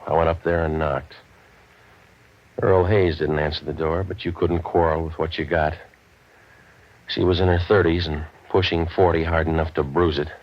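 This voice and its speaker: An American man playing a cynical, wisecracking hardboiled waterfront detective in an old radio drama, with a deadpan, sardonic delivery. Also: very deep voice, normal speed. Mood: wry, world-weary. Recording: muffled old radio broadcast recording.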